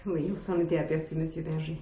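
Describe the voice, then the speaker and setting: An actress plays an anxious, polite niece in a theatrical mystery. Her voice is on the low side and comes from a distance in an echoey room, with clear background noise. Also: flat delivery, fast talker, warm tone, natural voice. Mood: relieved. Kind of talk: French stage mystery acting. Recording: roomy, distant, noisy stage sound.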